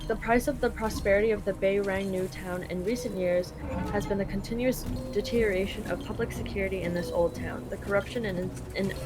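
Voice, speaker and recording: A woman's voice: mid-range voice, fairly even intonation, a little fast, poor audio. A woman from Canada casually translating a game live, paraphrasing loosely with lots of fillers. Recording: game music behind.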